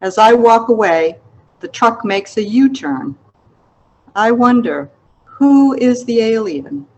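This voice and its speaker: A woman author reading her literary memoir aloud in a measured, reflective manner. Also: a little high, lively intonation, a little mellow, lo-fi sound. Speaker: author reading an excerpt of own book.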